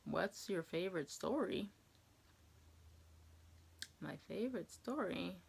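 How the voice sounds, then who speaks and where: A woman's voice: fairly low pitch, lively intonation, takes it slowly, gravelly, slightly rough. A woman in her twenties with an American accent, chatting casually as she answers viewer questions, rambling and hesitant as she thinks aloud. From New England, USA. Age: 20s.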